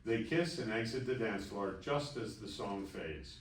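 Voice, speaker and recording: A man's voice: fairly low pitch, a little slow. A man reading stage directions as scripted narration at a screenplay table read. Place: festival table read in a room. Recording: echoey room, distant mic, faint noise.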